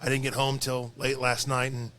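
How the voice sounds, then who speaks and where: A man's voice: mid-range voice, monotone, normal speed, fairly bright tone. A tired man with a slightly crackly voice, speaking earnestly and reflectively as a guest in a casual podcast conversation. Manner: earnest, reflective, repeats phrases.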